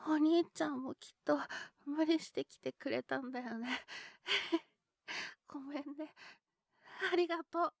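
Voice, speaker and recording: A woman plays a small boy down with a cold, his voice very high and childlike. He talks slowly, cheeky yet needy, pleading between coughs. The sound is dry and intimate, made for a situation voice drama. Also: gravelly, no room sound, exaggerated voice. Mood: soft, apologetic. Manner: pleading, sulky childish talk with frequent coughing. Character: cheeky little boy with a cold, clinging to his older brother.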